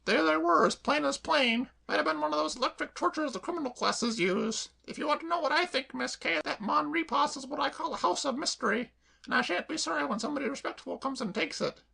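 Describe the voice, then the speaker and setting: A man voicing an excitable, melodramatic woman cook who frets about burglars. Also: very high voice, fairly quick, vocal fry, voice pushed up, full character voice. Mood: darkly dramatic.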